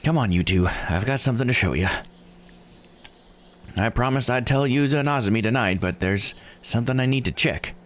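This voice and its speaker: A man voicing a kindly old island grandmother in a visual novel, folksy, unhurried and dryly joking. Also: mid-range voice, a little hiss or hum, pitched above the performer's own voice, close to an everyday voice. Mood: fond, calm. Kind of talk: visual novel character read aloud.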